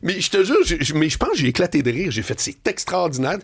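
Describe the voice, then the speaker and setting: A middle-aged man with a Quebec French accent, telling animated stories on a radio talk show and often laughing. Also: quick pace, vocal fry, breathy edge. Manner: storytelling, laughing, swinging pitch. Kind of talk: animated editorial chat. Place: radio studio.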